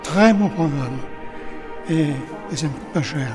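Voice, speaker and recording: Older hesitant man, slightly high. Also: expressive, measured pace, over music. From Paris. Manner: hesitant, many restarts and fillers. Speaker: interviewee, cinema-goer. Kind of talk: casual interview. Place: cinematheque in a city.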